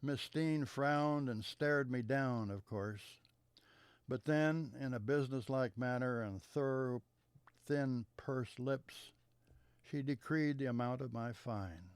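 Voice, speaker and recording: A man reading a childhood memoir aloud in a measured storytelling manner, letting sentences trail off softly at their ends. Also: medium pitch, clean tone, dry recording.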